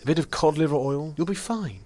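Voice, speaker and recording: An actor as a blunt doctor, noisy background. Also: male voice, a little high, expressive, brisk, warm tone, lightly acted. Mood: blunt, teasing. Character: cool, teasing country doctor, bluntly philosophical. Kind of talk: audio drama acting.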